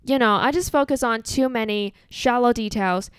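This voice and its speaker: A young woman with a Chinese accent hosting a solo podcast in an earnest, measured, relaxed way. English is not her first language. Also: on the high side, clean tone, no room sound, studio quality. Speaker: podcast host.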